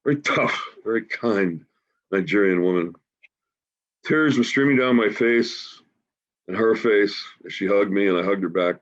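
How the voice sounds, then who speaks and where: A man in his forties acting a grieving, wry homeless man in a staged play reading, halting and emotional in a conversational way. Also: lowish voice, natural voice. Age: 40s. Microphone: video call. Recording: dull, lo-fi video call audio.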